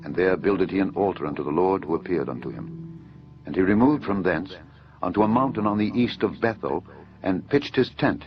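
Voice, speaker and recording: A man with a deep, low voice narrates scripture as for an audio Bible. His delivery is solemn, formal and measured, like a declamation. The sound is slightly dull and lo-fi, with music playing faintly behind him.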